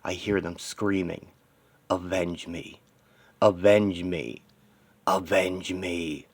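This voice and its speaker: Man performing a grim, low-voiced vengeful superhero. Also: fairly even intonation, takes it slowly, heavily acted. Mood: grieving, vengeful. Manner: terse, dramatic inner monologue in short fragments. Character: grieving, vengeful male superhero, grimly determined and anguished.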